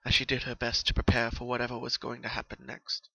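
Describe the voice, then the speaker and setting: A man delivers dramatic scripted story narration at a middle pitch. His voice is bright and crisp-edged, recorded dry with very little room sound. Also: monotone, fast talker, no echo, dull audio.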